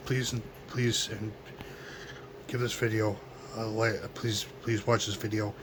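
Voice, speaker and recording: Hoarse guy in his 20s doing a home radio show, lowish flat voice, lots of ums, earnest and halting, noisy background. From United States. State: hoarse, coughing. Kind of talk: solemn remembrance monologue.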